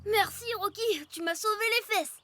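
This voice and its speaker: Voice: extremely high pitch, normal speed. An actor voicing a cheeky, exuberant child in a children's cartoon, eager and exclaiming. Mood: sheepish. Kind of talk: animated children's cartoon acting. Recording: loud music behind the voice.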